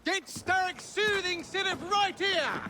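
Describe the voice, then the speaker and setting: A man playing a rough, threatening street thug and hawker in a video game. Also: very high-pitched, a little slow. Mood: hawking. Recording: loud music over street sounds.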